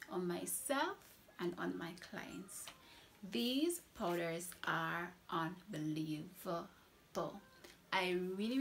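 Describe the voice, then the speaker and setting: A woman's voice: lowish voice, a little hiss or hum. A young woman from Trinidad, a makeup artist reviewing products in a casual vlog, enthusiastic and repeating words for emphasis.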